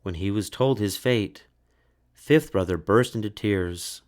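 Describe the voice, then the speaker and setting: Slow, low-pitched male storyteller. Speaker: storybook narrator. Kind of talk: scripted storytelling, children's read-aloud.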